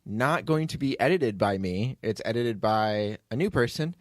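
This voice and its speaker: A man's voice: medium pitch, animated, normal speed, no room sound. Talkative man chatting casually, dry close sound. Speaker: podcast writer and producer, host. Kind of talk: casual behind-the-scenes chat.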